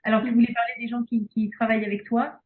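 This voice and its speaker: A woman's voice: a little high, level tone, fast. A woman from Belgium, a speech therapist talking casually in an interview, hesitant with many fillers and restarts. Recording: phone-like video call audio.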